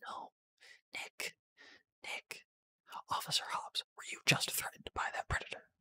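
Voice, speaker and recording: A person whispering as they read a film screenplay aloud in an ASMR style, narrating and voicing the parts with a breathy voice. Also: unhurried, sharp tone.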